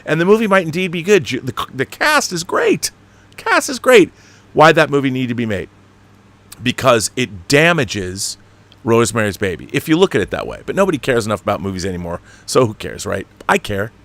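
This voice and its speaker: A man with a mid-pitched voice talks casually as a livestream host. He rambles in an opinionated way, leaning hard on his points with a fan's enthusiasm, and swears casually. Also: very animated, fairly quick, a bit bright. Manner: opinionated, emphatic fan enthusiasm. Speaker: online show host. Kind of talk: casual livestream monologue and chat reading.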